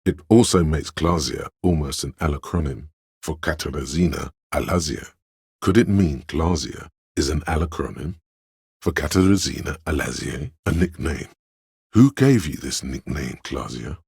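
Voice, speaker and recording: An actor voicing a pompous, art-obsessed inner voice in a video game, narrating in a literary, deliberate and theatrical way with a husky, gravelly tone. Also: male voice, rumbling low, lively intonation, a little mellow, no echo, clean studio sound, heavily acted. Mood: curious musing. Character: pretentious art-obsessed inner voice, male, musing and cutting. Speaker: narrator of a character's inner skill voice.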